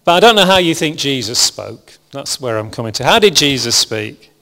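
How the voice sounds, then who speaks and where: A man preaching a sermon to a congregation, speaking calmly and evenly, with frequent restarts. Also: medium pitch, moderate pace, gravelly. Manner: calm, even, self-described monotonous delivery with frequent restarts. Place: church hall with congregation. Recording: long hall reverb.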